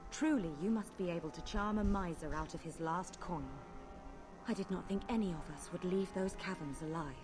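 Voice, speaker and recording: A woman's voice: fairly low pitch, moderate pace, over music, lightly acted. A woman as a hunted, grateful mage.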